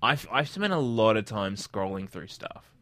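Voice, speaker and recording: A man's voice: lowish voice, normal speed, no echo. A male podcast co-host in casual gaming chat, teasing and chatty, telling stories with plenty of energy. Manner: chatty, teasing, animated storytelling.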